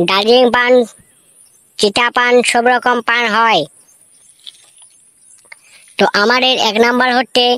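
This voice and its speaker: A high-pitched cartoon school pupil played by a woman recites earnestly. The delivery is flat and halting, with repeated, muddled words, recorded very dry. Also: no room sound, natural voice. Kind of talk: comic cartoon classroom recitation.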